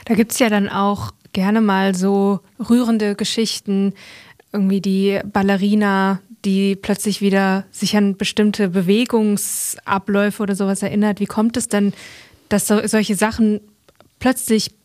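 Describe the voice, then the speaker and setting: A woman with a medium-pitched voice asks questions as an interviewer. Her phrasing is casual and conversational, and the sound is dry, with very little room on it. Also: level tone, a little slow, darkish tone, dry recording.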